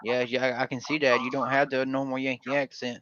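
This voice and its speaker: A man's voice: mid-range voice, flat delivery, brisk, crowd noise. A man from Georgia hosting a casual livestream panel, talking in a rambling, folksy way with lots of fillers. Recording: dull lo-fi dry stream audio.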